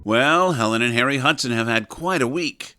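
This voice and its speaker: A man's voice: medium pitch, normal speed, over loud music, close to an everyday voice. A man playing a wry narrator, reading scripted narration for a domestic audio comedy.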